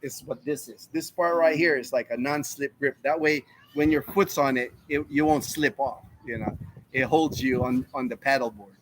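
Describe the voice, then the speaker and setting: A man's voice: medium pitch, moderate pace, rough recording. A man in his fifties, from the United States, hosting a casual live Q&A stream and rambling through answers to chat questions. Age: 50s. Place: home in the Philippines.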